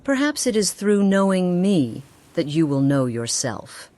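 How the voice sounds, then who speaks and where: A woman's voice: fairly low pitch, big pitch swings, unhurried, dark tone. An actress playing a grave, haunted woman companion in a fantasy game, speaking formally and earnestly. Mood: concerned, searching. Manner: formal archaic phrasing, earnest. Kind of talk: dramatic fantasy game voice acting.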